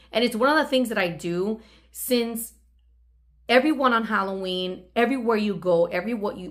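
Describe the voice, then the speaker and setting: A woman's voice: mid-range voice, a bit bright. Woman telling a casual personal story. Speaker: video channel host.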